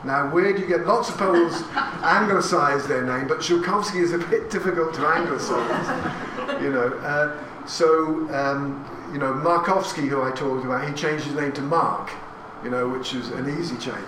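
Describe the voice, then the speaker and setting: A man in his sixties with an English accent talks to a live audience, his voice sitting a little high for a man. The sound is roomy and recorded from some distance, with audience noise behind him. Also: normal speed, few pauses. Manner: chatty and anecdotal, with asides and questions to the audience. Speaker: speaker giving a talk. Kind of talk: talk to a live audience about family history. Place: hall with an audience.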